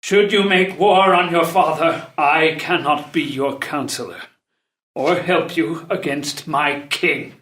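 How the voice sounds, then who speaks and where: A man's voice: a little high, slow, fairly bright tone. An actor playing a humble, loyal old counselor to a king, theatrically, in a classical drama reading. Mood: pleading, defiant. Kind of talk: unrehearsed table read of classical verse drama. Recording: echoey room.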